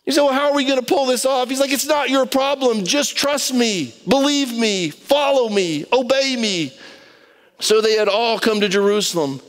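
A man's voice: very high-pitched, moderate pace. A man from Brazil preaching in an emphatic, colloquial style, acting out bits of dialogue as he goes. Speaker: preacher, pastor. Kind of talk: animated conversational sermon.

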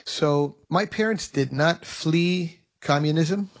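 A man talking through his family story in an earnest, halting way, with many restarts, as a guest on a radio interview. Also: fairly high pitch. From United States. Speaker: radio program host. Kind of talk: conversational interview.

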